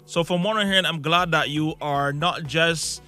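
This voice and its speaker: A man's voice: fairly high pitch, fairly even intonation, moderate pace, sharp tone. A man hosting a radio morning show, interviewing guests in an informal, encouraging way. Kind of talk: casual broadcast interview. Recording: music playing behind the voice.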